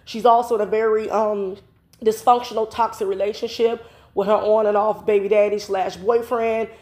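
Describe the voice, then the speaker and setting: A woman's voice: medium pitch, little pitch movement, normal speed, a little mellow. A stuffy-nosed woman in her thirties talks straight into her phone at home, still congested from a cold. She rambles through her opinions with plenty of fillers, casual and sure of herself. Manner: chatty, opinionated, frequent "you know" fillers. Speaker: vlogger host. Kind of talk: casual commentary vlog. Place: home, indoors. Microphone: phone camera close up.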